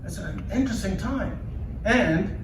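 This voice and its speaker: A man's voice: high-pitched, lively intonation, measured pace. A middle-aged man with a Kenyan accent gives a live speech to an audience, telling personal stories emphatically and repeating phrases for rhythm. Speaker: public speaker, leader of an organization. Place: indoor event before an audience. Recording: echoey room, distant mic, background noise.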